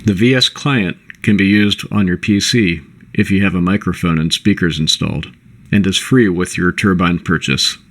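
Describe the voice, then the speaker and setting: Male presenter, low register, for scripted product overview; measured, instructional read. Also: a bit bright. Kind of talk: scripted corporate narration.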